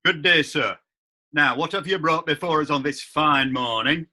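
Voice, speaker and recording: An actor playing a wry, curious judge. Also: male voice, on the high side, moderate pace, bright, forceful tone. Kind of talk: amateur audio drama acting.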